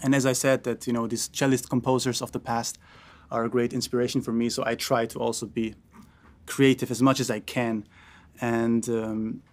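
A man's voice: mid-range voice, flat delivery, normal speed. A young man from Austria, a cellist and composer, speaking informally to a concert audience between pieces, with fillers and restarts.

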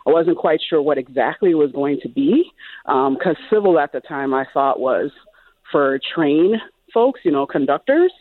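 A woman's voice: low-pitched, expressive, moderate pace. A middle-aged woman from Liberia, calling in to a radio show and talking with enthusiasm and encouragement. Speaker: caller. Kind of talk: radio call-in. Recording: tinny phone line.

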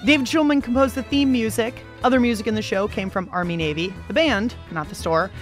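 A woman's voice: fairly high pitch, brisk. An American woman hosting a radio show, narrating in a playful, chatty, wry way. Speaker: radio show and podcast host. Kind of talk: playful conversational scripted narration. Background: music.

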